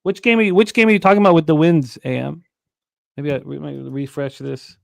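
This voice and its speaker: A man hosting a sports betting talk show, running through odds, weather and pitcher stats with plenty of fillers. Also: a little fast, creaky, dry recording. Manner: reads out odds and stats in a steady run, with fillers.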